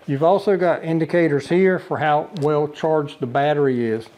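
A man's voice: a little high, normal speed, darkish tone, low-quality recording. An older man casually demonstrating a camping lantern in his living room, talking plainly with frequent uhs and restarts. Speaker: product reviewer. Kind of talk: casual product demo. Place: living room with a brick wall, indoors.

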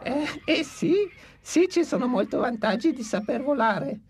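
A man's voice: very high-pitched, lively intonation, unhurried, full character voice. An actor dubbing a cheeky, impertinent old male bird in a cartoon, chattering and fussing.

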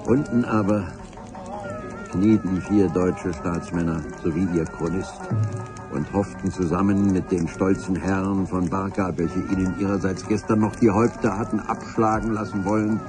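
Actor as low-voiced grandiloquent chronicler. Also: male voice, steady tone, music in the background, close to an everyday voice. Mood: lofty, wistful. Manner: solemn, florid, measured literary delivery. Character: grandiloquent male chronicler of an adventure. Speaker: narrator. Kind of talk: radio play narration.

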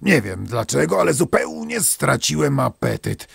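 A man's voice: mid-range voice, huge pitch swings, moderate pace, creaky, husky, dry recording, studio quality, voice pushed up, exaggerated voice. A man voicing a starving, weary male wolf with a plaintive manner.

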